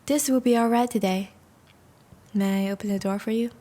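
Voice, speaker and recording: A woman playing a charming, courtly vampire suitor in a romantic audio roleplay, speaking formally and gently to the listener. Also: medium pitch, fairly even intonation, normal speed, natural voice. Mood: charmed, courting. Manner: formal, courtly, gentle phrasing with soft sighs.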